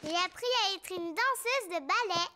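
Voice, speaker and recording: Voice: very high-pitched, normal speed, sharp tone, heavily acted. A young performer voicing a bossy, cheerful little girl pig in a children's cartoon, giggly and boastful. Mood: proud, bossy. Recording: music behind the voice.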